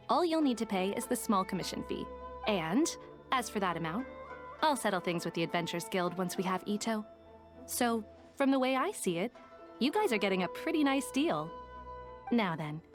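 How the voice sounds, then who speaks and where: A woman voicing a shrewd, confident, money-minded detective in an anime video game, businesslike and deliberate. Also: medium pitch, natural voice. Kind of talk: anime game voice acting, storytelling.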